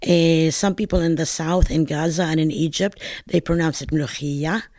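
A woman's voice: sits low, fairly even intonation, moderate pace, darkish tone, no room sound, clean studio sound. An older woman from Palestine with a northern Palestinian accent, chatting in an oral history interview as a home cook, telling stories in a digressive, talkative way.

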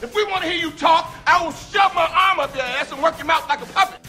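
A man putting on a shrill, yelling heckler voice in a podcast promo. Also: fast talker, faint music behind, full character voice. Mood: furious.

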